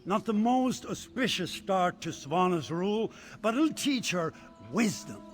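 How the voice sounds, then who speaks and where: Reflective worldly man, high light voice, measured. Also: animated. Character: worldly man, knowing and philosophical. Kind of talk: video game dialogue.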